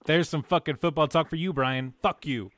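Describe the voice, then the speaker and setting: A man's voice: a little high, normal speed, fairly bright tone, no room sound. A man co-hosting a podcast with casual, crude sports banter. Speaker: podcast co-host.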